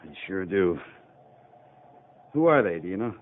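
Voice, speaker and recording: An actor playing a rough, folksy cavalry sergeant who chews tobacco and craves action, in a 1950s Western radio drama. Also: male voice, low voice, big pitch swings, measured pace. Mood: grimly satisfied. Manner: folksy, ungrammatical frontier talk. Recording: muffled, hissy 1950s radio recording.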